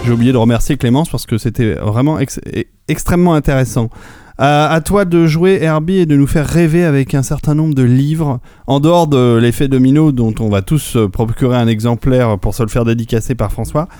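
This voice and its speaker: A man's voice: medium pitch, fairly quick, mellow, no echo, studio quality. A man hosting a casual podcast chat, hesitant with many fillers and easy chuckles. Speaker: podcast host.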